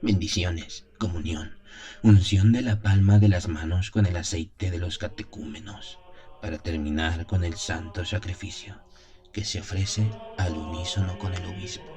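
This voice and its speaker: A man playing a devout priest who solemnly recalls his innocent youth in a gothic radio drama, in measured, literary phrases. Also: low voice, steady tone, darkish tone. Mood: solemn, fervent. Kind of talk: dramatized first-person gothic story narration. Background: music.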